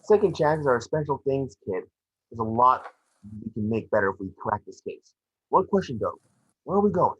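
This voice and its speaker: A man voicing a small, wisecracking, excitable detective creature at a script table read. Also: medium pitch, moderate pace, slightly rough, highs cut off. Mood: wisecracking, brisk.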